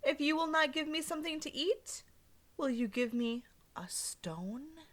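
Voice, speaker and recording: A woman reading aloud and voicing a clever, hungry young man with a slow, coaxing, persuasive delivery. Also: high voice, expressive, fairly bright tone, pitched above the performer's own voice.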